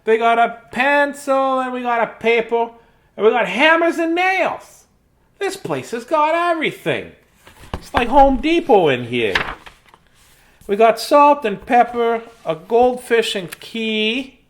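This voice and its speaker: A man's voice: extremely high pitch, very animated, slow, a little hiss or hum, heavily acted. A man voicing a cheery, chatty male mouse who shows off a house in sing-song rhymes for a children's storybook. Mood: chirpy. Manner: sing-song rhyming, tour-guide patter. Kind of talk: children's storybook read-aloud.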